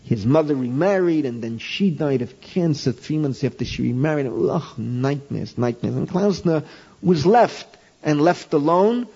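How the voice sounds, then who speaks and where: An older man, a rabbi and teacher, telling an anecdote to a class in an animated, informal lecture, raising his voice when he quotes himself, on a dull lo-fi archival tape. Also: on the high side, a little slow, slightly rough. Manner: animated anecdotal, voices his own past lines loudly.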